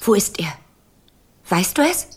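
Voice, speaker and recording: An actress playing a dreamy but plucky fifteen-year-old princess in a radio fairy tale, gentle and youthful. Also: female voice, medium pitch, big pitch swings, measured pace, husky, no room sound, heavily acted. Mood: hopeful, resolute.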